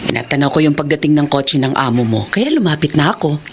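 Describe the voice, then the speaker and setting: A coy seductive neighbor. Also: female voice, deep voice, expressive, fast, a little hiss or hum. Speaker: radio drama actress. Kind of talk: classic radio drama acting.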